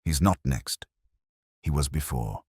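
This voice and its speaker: A man voicing a composed, cryptic senior police inspector in an audiobook-style mystery, speaking in a measured, deliberate way. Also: very deep voice, expressive, darkish tone, slightly rough, dry recording, studio quality, full character voice. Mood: grave, decisive.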